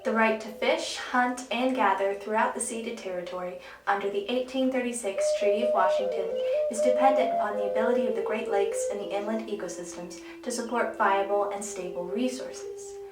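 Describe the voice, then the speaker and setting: A woman's voice: mid-range voice, a little fast, over music. Woman narrating formally in a roomy space. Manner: formal, steady. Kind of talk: scripted narration reading a statement.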